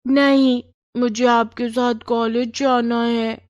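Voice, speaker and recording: A woman's voice: fairly high pitch, flat delivery, takes it slowly, darkish tone, no room sound, dull audio, voice pushed up. A woman voicing a stubborn, pleading little toddler girl in a cartoon story. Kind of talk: animated moral story dialogue.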